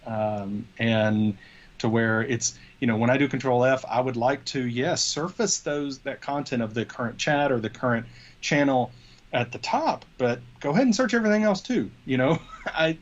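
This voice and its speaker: A man's voice: medium pitch, normal speed, lo-fi sound. A man in his forties co-hosting a tech show in a casual, hesitant, conversational way, thinking aloud as he goes. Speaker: co-host of a tech news show. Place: small home office, video call.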